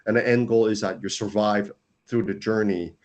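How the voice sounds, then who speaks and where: Founder, male, lowish voice, earnest, stops and restarts phrases. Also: steady tone, normal speed, darkish tone, muffled recording. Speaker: startup founder, guest. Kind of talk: casual interview.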